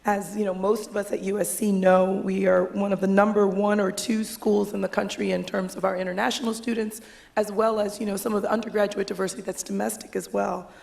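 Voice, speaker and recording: Measured, gravelly-voiced woman moderator. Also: mid-range voice, flat delivery, darkish tone. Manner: measured, with frequent "um" pauses. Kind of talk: panel moderation, posing questions.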